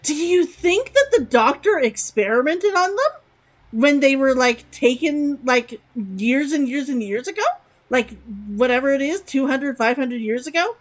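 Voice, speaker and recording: A woman's voice: high-pitched, lively intonation, unhurried. A young woman streaming a game, chatty and giggly, repeating words aloud to test how they sound. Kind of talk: live game commentary.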